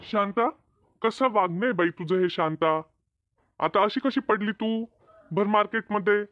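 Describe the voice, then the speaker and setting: High-pitched, chiding village husband acted by a man. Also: unhurried, muffled recording, faint music behind. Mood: exasperated. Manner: chiding, questioning, homely. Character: exasperated but helpful village husband and father. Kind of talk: amateur cartoon comedy dub.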